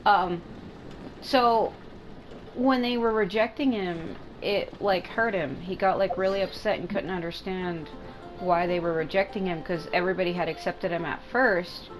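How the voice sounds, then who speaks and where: A woman with a lowish voice gives casual gameplay commentary over clearly audible game music. She explains things in a rambling way, circling back to rephrase herself as she goes. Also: rough recording. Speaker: gaming content creator. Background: video game music.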